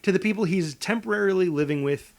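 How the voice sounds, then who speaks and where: A male podcast co-host with a voice a bit higher than most men's. He talks casually and thinks out loud, rambling and doubling back on his sentences as he pitches ideas. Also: vocal fry. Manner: halting, rambling delivery with false starts. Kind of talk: casual improvised movie pitch chat.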